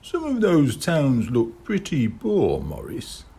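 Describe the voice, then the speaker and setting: A man's voice: medium pitch, huge pitch swings, takes it slowly, vocal fry, mellow, slightly rough, voice pushed up, full character voice. A man voicing a doubtful young boy who speaks carefully and hesitantly in an audiobook.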